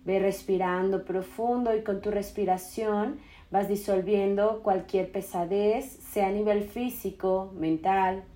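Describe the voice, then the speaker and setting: A woman leading a guided meditation in a soothing, instructional manner with unhurried phrasing. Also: mid-range voice, steady tone. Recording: roomy echo with loud singing bowls. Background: singing bowls, meditation music.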